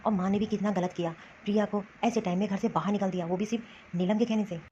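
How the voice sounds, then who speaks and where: A woman's voice: medium pitch, steady tone, quick pace, dark tone, close to an everyday voice. A woman voicing an earnest, reproachful young husband torn between his wife and his family in a cartoon drama. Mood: troubled. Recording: dull lo-fi sound, faint noise.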